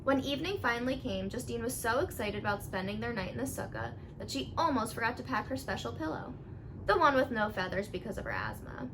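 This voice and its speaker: A woman with a fairly high voice reads a picture book aloud to children. Her delivery is expressive storytelling, and the recording has clear background noise. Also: fast talker. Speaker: storybook reader.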